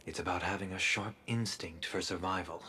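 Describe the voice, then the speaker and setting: A man voicing a stoic, reserved warrior in a video game, with a measured, deadpan delivery. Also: sits low, faint music behind. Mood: pensive, serious. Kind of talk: dramatic video game voice acting.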